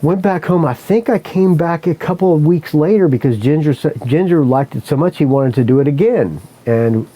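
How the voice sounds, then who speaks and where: Mellow-voiced man telling a rambling story. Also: fairly high pitch, expressive, normal speed, few pauses, low-quality recording. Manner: rambling, self-correcting, swears freely. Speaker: podcast host. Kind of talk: casual solo storytelling.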